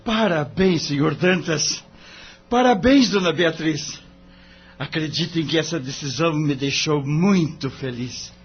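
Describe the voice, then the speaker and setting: An actor playing an earnest, upright young lawyer with a Brazilian accent, polite and sincere, in an audio drama. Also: male voice, fairly high pitch, measured pace. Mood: glad, grateful. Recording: dull lo-fi audio, music underneath.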